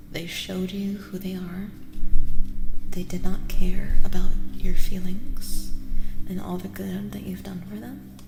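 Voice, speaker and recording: A woman speaking in a soft, gentle ASMR style as she chats casually with livestream viewers, often dropping into whispers. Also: mid-range voice, gravelly, echoey room, long reverb tail, rough recording. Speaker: livestreamer giving tarot readings.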